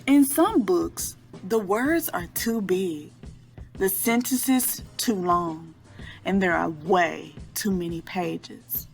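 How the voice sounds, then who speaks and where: A woman reading a picture book aloud to children in an expressive storytelling style, drawing out key words. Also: lowish voice, takes it slowly, vocal fry, faint music behind.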